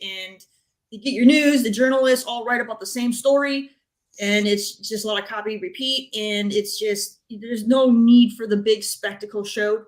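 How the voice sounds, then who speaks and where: A woman's voice: mid-range voice, rough recording. A woman speaking informally as a panelist on a gaming talk show, giving opinions in a blunt, emphatic way.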